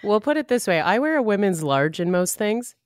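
A woman's voice: mid-range voice, sing-song, brisk, dry recording, clean studio sound. A woman radio host telling a funny story in casual chat, self-deprecating.

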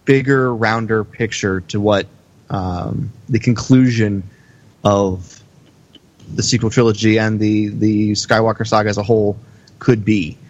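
Halting male podcaster, dull lo-fi sound. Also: lowish voice, steady tone. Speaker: podcast co-host. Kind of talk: casual podcast chat.

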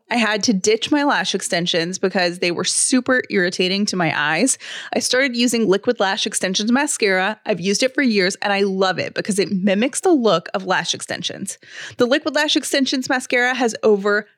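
A woman's voice: mid-range voice, no echo, studio quality. A woman hosting a podcast, reading a sponsor ad in a chatty, persuasive, conversational way. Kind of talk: conversational ad read.

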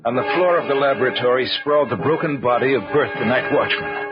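An actor playing an earnest lab assistant who narrates a suspense tale in an old-time radio drama style. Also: male voice, mid-range voice, quick pace, close to an everyday voice. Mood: grave storytelling. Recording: muffled vintage radio recording, music behind.

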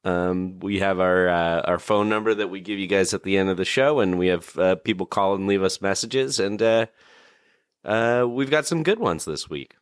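A man hosting a casual podcast, talking in a halting way as he searches for words. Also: low voice, clear, resonant tone, dry recording, clean studio sound. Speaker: podcast host.

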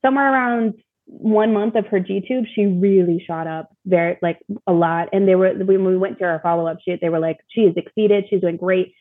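Woman chatting casually, bit husky, tinny phone-like sound, kinda self-deprecating. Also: medium pitch, steady tone, a little fast. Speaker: interview guest, mother of young child. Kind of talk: casual chat. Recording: thin phone-like call audio.

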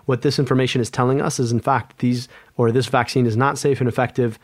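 A man's voice: mid-range voice, fairly even intonation, fast, no room sound. Man, epidemiologist guest, earnest, stumbling restarts, dry close sound. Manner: earnest, many restarts and repeated words. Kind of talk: conversational interview.

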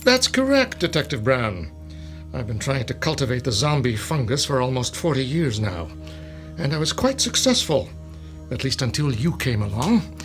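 A man's voice: medium pitch, wildly swooping pitch, normal speed, faint music behind. A man playing a smug gloating mad scientist. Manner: smug, theatrical, gloating with soft laughs. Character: gloating male mad scientist villain, fungus-obsessed. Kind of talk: radio drama acting.

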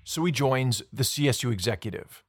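A man's voice: mid-range voice, animated, a little fast, music in the background. A man hosting a podcast, narrating a scripted documentary story in a conversational way.

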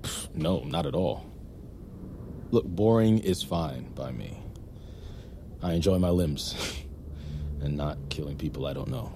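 A man acting in a naturalistic audio drama as an awkward, self-deprecating war returnee, speaking in a halting, sheepish way. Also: rumbling low, expressive, vocal fry, dark tone, a little hiss or hum, heavily acted. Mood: sheepish, friendly.

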